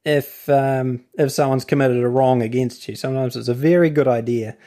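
A man's voice: medium pitch, steady tone, normal speed, warm tone. A man with a New Zealand accent giving a casual explanatory talk as host and legal author, wandering into asides and then finding his thread again.

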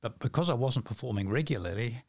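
An older man chats as a podcast guest, halting and often repeating his words. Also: medium pitch, lively intonation, darkish tone, breathy edge, no echo, studio quality. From New Zealand. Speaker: podcast guest, magician and author. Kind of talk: casual conversation.

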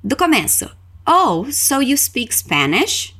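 A woman's voice: high-pitched, very animated, takes it slowly, bright, forceful tone, slightly rough. A woman with a Brazilian accent teaching a scripted language lesson as a podcast host, instructive, carefully enunciating example phrases for repetition. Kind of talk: scripted language lesson with repeat-after-me drills.

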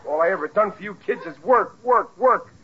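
A man's voice: high-pitched, expressive, a little fast, slightly rough. An actor playing a stubborn, easily flustered working-class family man, in a 1940s radio sitcom. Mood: indignant. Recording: dull vintage radio recording.

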